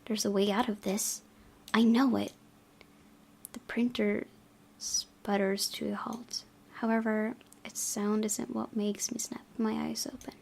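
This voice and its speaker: A woman's voice: a little high, level tone, unhurried, vocal fry. A woman hosting a gaming video, reading a visual novel aloud and mixing in chatty, giggly asides. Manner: giggly, chatty asides between narrated passages. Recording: loud music behind the voice. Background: loud game music.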